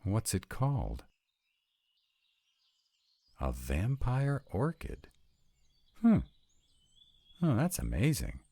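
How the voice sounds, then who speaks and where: A man's voice: on the low side, huge pitch swings, very slow, gravelly, long pauses, warm tone, slightly rough, dry recording, heavily acted. A man playing a wry, sarcastic young vampire in a scripted roleplay audio drama. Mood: sarcastic, incredulous.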